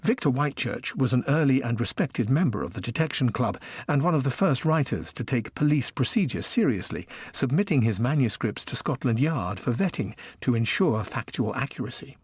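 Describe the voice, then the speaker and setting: A man's voice: medium pitch, lively intonation, brisk, few pauses, husky, no room sound, studio quality. A man presenting a mystery story with a scripted introduction.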